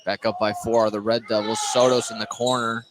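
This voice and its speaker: A man's voice: lowish voice, little pitch movement, brisk. A man doing live play-by-play radio commentary of a basketball game from inside a gymnasium. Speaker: play-by-play sports announcer. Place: indoor gymnasium during a game. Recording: noisy gym broadcast, bouncing ball. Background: game noise, basketball bouncing.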